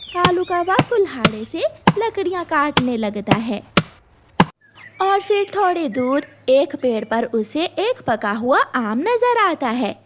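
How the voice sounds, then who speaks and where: High-pitched, slow woman storyteller. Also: big pitch swings, background noise. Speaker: narrator. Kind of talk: scripted children's story narration.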